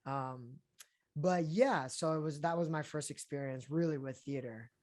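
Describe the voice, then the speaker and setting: Relaxed, chatty young man. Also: on the high side, normal speed. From Colombia, raised in Florida and Houston. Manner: relaxed and chatty, with many fillers and easy laughs. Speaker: actor guest. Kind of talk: casual interview talk.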